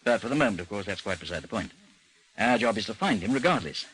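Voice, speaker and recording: Composed detective chief, 1950s-style radio drama. Lowish voice, dry, formal, measured narration. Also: male voice, lively intonation, a bit bright, rough recording. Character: composed, dry British chief of a missing persons bureau, narrating his case. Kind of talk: old-time radio drama acting and narration.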